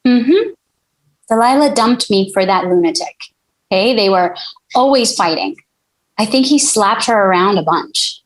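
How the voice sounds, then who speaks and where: Female actor as irritable music mogul, mid-pitched, casual and evasive, caught on the defensive. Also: expressive, unhurried, pauses often, close to an everyday voice, rough recording. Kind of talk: scripted table read acting.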